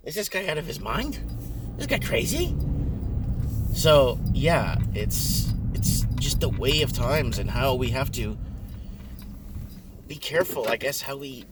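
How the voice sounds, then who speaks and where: A man's voice: medium pitch, big pitch swings, unhurried, pauses often. A man in his forties whose first language is Portuguese, musing in a loose, rambling vlog monologue and repeating words as he goes. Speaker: vlogger. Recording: distant mic with loud traffic noise.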